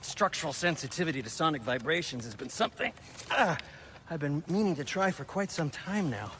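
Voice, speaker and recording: A fussy little dwarf smith, prim and squeamish about filth, with a raised, bouncing voice, as a man voices him. Clear ambient game noise sits under his lines. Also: fairly high pitch, lively intonation, natural voice. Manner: fastidious, fretful and prim. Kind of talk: video game cutscene acting.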